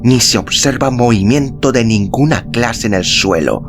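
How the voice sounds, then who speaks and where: A man reading a literary story as an audiobook narrator, in a measured, solemn, dramatic style with deliberate pauses. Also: medium pitch. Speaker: audiobook narrator and podcast host. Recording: music behind the voice. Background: background music.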